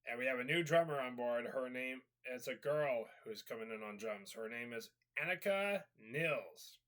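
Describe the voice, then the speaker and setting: A man with a mid-range voice talks his way through a casual rant to camera. He sounds a bit raspy and sniffly from a cold, and he rambles, padding his sentences with fillers and repeated asides. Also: medium pitch, lively intonation, normal speed, fairly bright tone. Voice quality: slightly raspy. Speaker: video host. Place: at home.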